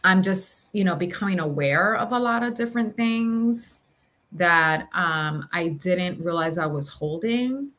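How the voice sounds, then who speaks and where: Slow, hesitant woman in reflective conversation. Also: lowish voice, lively intonation, a bit bright. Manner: hesitant, searching for words. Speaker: podcast host.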